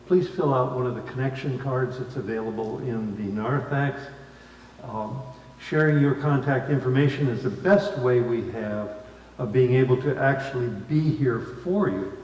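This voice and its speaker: A man giving a formal scripted church welcome as worship associate, with a measured, deliberate delivery, phrase by phrase. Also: mid-range voice, darkish tone. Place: large church sanctuary. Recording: echoey hall, distant mic, faint noise.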